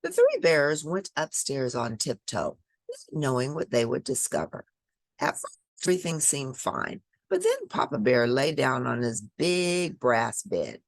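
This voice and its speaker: A woman's voice: a bass voice, huge pitch swings, unhurried, no echo. A woman reading a picture book aloud to children, expressively, with dramatic emphasis and chatty asides. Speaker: children's storybook reader.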